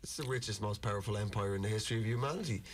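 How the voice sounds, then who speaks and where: A man's voice: lowish voice, racing, slight background noise. A man, a comedian guest, making wry remarks in a radio studio.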